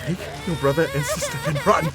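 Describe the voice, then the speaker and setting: A man's voice: fairly high pitch, wildly swooping pitch, fairly quick, loud music behind the voice. A man playing a desperate, loving father in an audio drama, stammering and pleading as he tries to save his children. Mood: urgent, reassuring.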